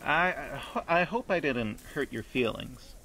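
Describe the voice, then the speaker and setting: A man acting in a radio play as a gentle, tactful fellow, speaking in a hesitant, understated, naturalistic way. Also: medium pitch, lively intonation, a little slow, gravelly, a little hiss or hum, heavily acted. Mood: apologetic. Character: gentle, tactful man patiently humoring a talking building.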